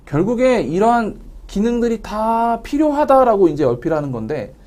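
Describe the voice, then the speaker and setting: A man presents technical material in a webinar. His voice sits a bit high and swings widely in pitch, yet stays soft-edged and mellow as he moves quickly through his explanations. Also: very animated. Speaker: company representative presenting at a webinar.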